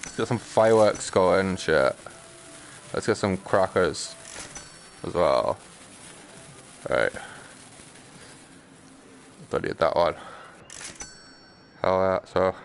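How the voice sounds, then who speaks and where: A man giving casual, playful and sweary live commentary while streaming a video game, with an excitable manner. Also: low voice, slow, vocal fry, pauses often, slight background noise.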